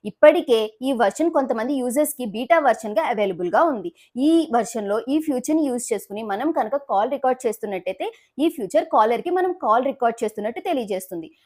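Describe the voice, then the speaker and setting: A woman news presenter reads scripted stories in a high voice. She moves very quickly from sentence to sentence. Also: lively intonation, a little mellow.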